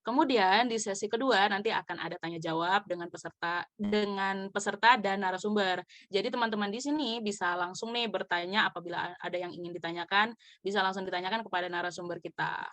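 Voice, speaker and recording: Young woman moderator, bright voice, polite and organized, dry close mic. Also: mid-range voice, fairly quick, no echo. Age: young adult. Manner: polite, structured, with light filler words. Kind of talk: formal event moderation. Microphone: video call.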